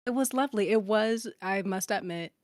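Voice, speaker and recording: A woman speaking casually and effusively in an online chat, giving heartfelt thanks in a halting way. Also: mid-range voice, a little mellow, no echo, studio quality. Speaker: voice actor and musician on an audio drama.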